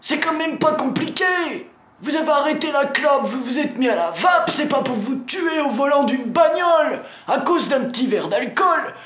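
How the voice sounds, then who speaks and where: A man's voice: very high-pitched, expressive, moderate pace, fairly bright tone, reverberant. A man playing a grumpy, foul-mouthed old riddle-keeper from a lighthouse, grumbling and scolding the viewer through a sore throat. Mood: ranting. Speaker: channel host announcing meetups. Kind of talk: comic character sketch.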